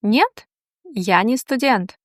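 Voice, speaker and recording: A woman teaching a language, reading slow, deliberate question-and-answer drills with long pauses. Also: on the high side, lively intonation, dry recording, clean studio sound.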